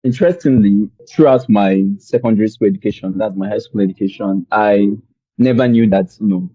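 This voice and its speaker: A young man from Nigeria with a Nigerian accent, telling his story as a podcast guest in a relaxed, rambling way with many fillers. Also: lowish voice, fairly quick, slightly rough, no echo, lo-fi sound. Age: young adult. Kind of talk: conversational storytelling.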